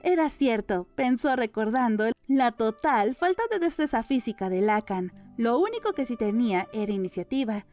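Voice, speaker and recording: A woman's voice: fairly high pitch, animated, normal speed, hardly any pauses, a little mellow, faint music behind. A woman narrating a novel as a scripted audiobook.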